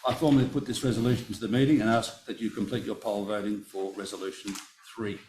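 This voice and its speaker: A man with a lowish voice chairs a formal meeting in a meeting room, working steadily through resolutions. He reads long vote counts carefully and corrects himself when a figure slips. A faint room hiss sits under his voice. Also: steady tone, quick pace, darkish tone, low-quality recording.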